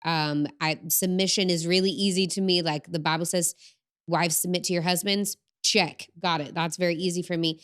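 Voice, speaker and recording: A calm woman in her thirties co-hosts a faith and relationships chat. Her voice sits a little low and sounds smooth and clear. She speaks in an even, affirming way. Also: normal speed. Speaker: podcast co-host. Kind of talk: casual faith-based advice chat.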